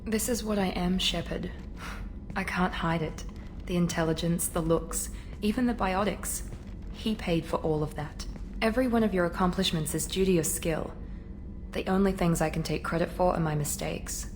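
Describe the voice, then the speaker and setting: A woman's voice: fairly low pitch, normal speed, darkish tone, a little hiss or hum, natural voice. A woman playing a proud, cool elite operative in a video game, frank and composed. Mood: self-critical. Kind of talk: video game character acting.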